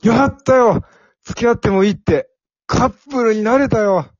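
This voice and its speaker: A man's voice: extremely high pitch, normal speed, no echo, lo-fi sound. A man playing a timid, earnest young office worker in an amateur radio drama, with plain boyish phrasing. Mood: overjoyed.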